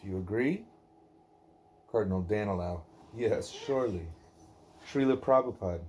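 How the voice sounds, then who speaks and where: A man reading a devotional dialogue aloud in measured, deliberate phrases. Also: fairly low pitch, darkish tone, a little hiss or hum. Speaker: reader of a devotional book; parent reading aloud at a child's bedtime.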